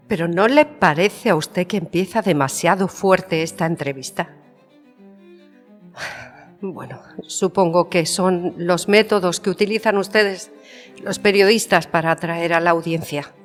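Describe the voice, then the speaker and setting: A woman's voice: fairly low pitch, lightly acted. Actress as proud, wounded Spanish queen in a reverberant hall. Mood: composed, pointed. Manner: formal, measured, pointed. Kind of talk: dramatised historical interview.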